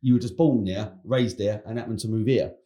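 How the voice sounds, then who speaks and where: A man with a London accent hosts an easygoing interview, his voice low-pitched and mellow. He talks conversationally, asks probing follow-up questions and calls his guest "mate". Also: fairly quick, muffled recording. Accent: London English. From England (London). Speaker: podcast host. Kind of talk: casual interview chat.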